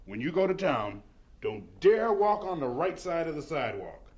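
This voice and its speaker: An actor playing a stern, pragmatic middle-aged college president in a radio drama, lecturing briskly with authority. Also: male voice, a little high, sing-song, breathy edge. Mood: stern, cautioning.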